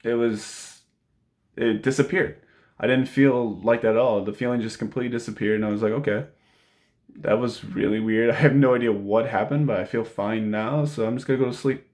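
A man's voice: mid-range voice, steady tone, brisk, darkish tone. A man in his late twenties talking casually and earnestly to camera, telling a personal story in informal speech. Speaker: vlogger. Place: indoor room at home.

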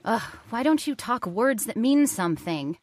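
A woman's voice: a little high, lively intonation, moderate pace, darkish tone. A woman playing an anxious, insistent young woman in an old-time radio horror drama, pleading and questioning dramatically. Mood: frustrated, pleading.

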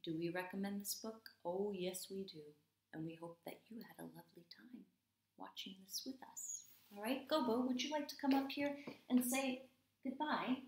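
A woman in her thirties, an English teacher reading aloud to young children, dramatic and deliberate, with hushed stretches. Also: mid-range voice. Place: small room at home, indoors. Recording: roomy indoor echo.

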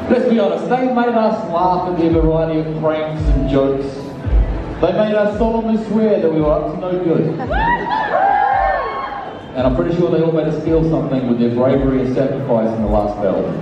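A man's voice: fairly high pitch, a little fast, over music. A man announcing guests to the stage and hyping the crowd. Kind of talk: stage introductions. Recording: distant, dull PA in echoey hall.

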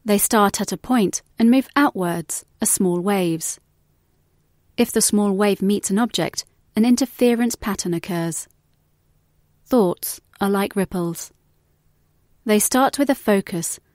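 A woman's voice: mid-range voice, slow, a little mellow, dry recording. Contemplative woman reading, dry close sound. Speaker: guest reader of book excerpt. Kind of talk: reflective scripted reading.

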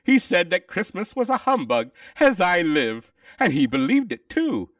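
A man voicing a jovial, good-natured gentleman with an emphatic manner in a storybook reading. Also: sits high, very animated, measured pace, no room sound, clean studio sound, far from the mic, pitched above the performer's own voice, exaggerated voice. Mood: amused.

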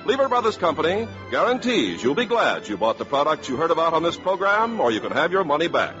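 An American man reading scripted announcements in 1950s radio style, deliberate and emphatic. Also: on the high side, very animated, over music. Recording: dull 1950s radio broadcast recording.

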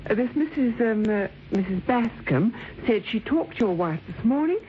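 An actress playing a polite, solicitous office secretary in an old radio drama, deferential and slightly hesitant. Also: female voice, a little high, animated, moderate pace, natural voice. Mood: apologetic, concerned. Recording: dull, hissy old radio recording.

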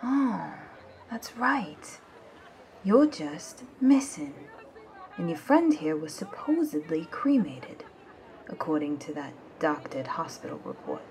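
A woman's voice: sits low, big pitch swings, slow, darkish tone, a little hiss or hum, heavily acted. A woman playing a suspicious fraud detective in an audio drama, with a sarcastic, confrontational manner. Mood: sardonic, accusing.

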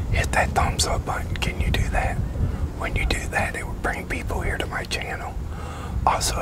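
Whispering older man, slow and halting. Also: sits high, rough recording. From United States. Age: 60s. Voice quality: breathy whisper. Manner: slow, halting, informal delivery. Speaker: ASMR roleplay creator. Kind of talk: whispered ASMR roleplay. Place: open-sided concrete park picnic pavilion.

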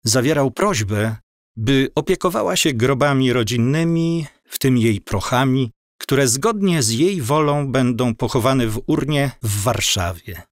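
Slow, measured male narrator. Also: mid-range voice, lively intonation, dry recording, studio quality. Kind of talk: scripted audio drama narration.

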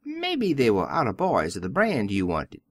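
A woman voicing a brisk, offhand male station master in a dramatic audiobook reading. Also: rumbling low, huge pitch swings, slightly rough, pitched below the performer's own voice, exaggerated voice.

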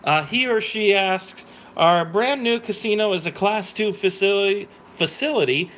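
An American man hosting a casual livestream with live play commentary, excitable and given to exclamations and swearing. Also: high-pitched, normal speed, slight background noise. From grew up in Wisconsin, United States. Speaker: livestream host. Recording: loud music behind the voice. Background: loud music, slot machine game audio.

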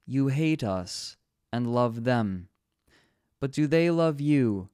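A dignified, hypnotic female alpha wolf in a storybook, as a male narrator puts her on. Her voice sits clearly higher than the reader's own, slow and coaxing, with a close, dry sound. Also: lively intonation, darkish tone, dry recording. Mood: tempting.

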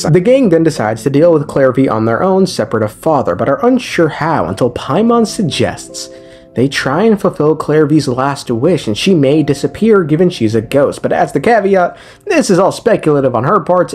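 A man's voice: mid-range voice, darkish tone. A young man giving a casual, slangy story recap, rambling and swearing with wry humour. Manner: slangy, profane, rambling, wryly joking. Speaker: video creator recapping a game story. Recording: music behind the voice. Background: background music.

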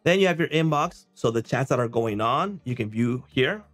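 A man in his thirties hosting a casual software walkthrough, conversational, often checking in with a quick okay or right. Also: medium pitch, animated. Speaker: software tutorial host. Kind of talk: casual screen-share walkthrough. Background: music.

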